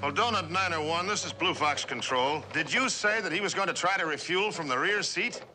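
An actor playing a questioning military ground controller in mid-century TV drama style. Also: male voice, fairly high pitch, expressive, brisk, noisy background, close to an everyday voice.

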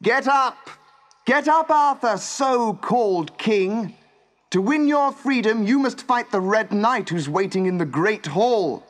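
A man voicing an imperious woman jailer in a storybook legend, shouting scornful commands. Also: high voice, expressive, slow pace, voice pushed up.

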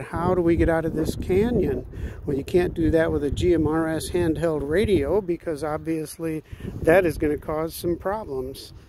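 A man's voice: on the high side, darkish tone. A man, chatting casually and folksily to camera as he explains his radio hobby outdoors. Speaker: ham radio hobbyist vlogger. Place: outdoors in a residential front yard. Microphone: phone at arm's length. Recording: outdoor background noise.

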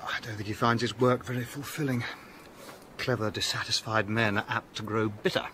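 An actor playing an edgy, hearty young gentleman in a radio drama, with hesitant, unsettled speech. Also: fairly low pitch, fairly even intonation, moderate pace, a little hiss or hum. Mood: amiable.

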